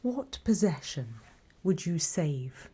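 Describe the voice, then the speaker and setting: A British woman playing a sly, greedy female demon auctioneer in a whispered ASMR roleplay, with a soft breathy voice and a deliberate, coolly questioning manner. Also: lowish voice, sing-song, heavily acted. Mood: greedy, probing.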